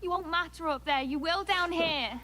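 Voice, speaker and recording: A woman's voice: extremely high pitch, normal speed, pushed and bright. An actress playing a frightened young wildling woman who anxiously pleads with a man not to leave. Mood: pleading. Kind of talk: TV drama dialogue.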